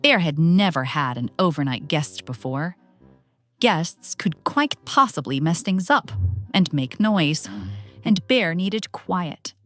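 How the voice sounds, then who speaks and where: Woman storyteller, children's read-aloud, lively intonation, measured pace, faint background music. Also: lowish voice. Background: soft music.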